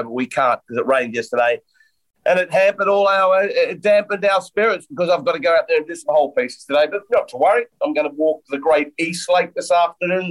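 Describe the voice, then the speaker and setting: A man's voice: fairly high pitch, poor audio. A man co-hosting a podcast in casual, blunt, jokey chat, sounding nasal and blocked up. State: congested, stuffy nose. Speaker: podcast co-host and golf commentator.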